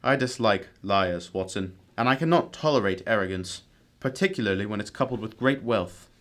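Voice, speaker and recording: A man's voice: fairly low pitch, normal speed, natural voice. A man playing a cool, exacting detective in an amateur radio drama, speaking in a formal, probing manner. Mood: assured, brisk.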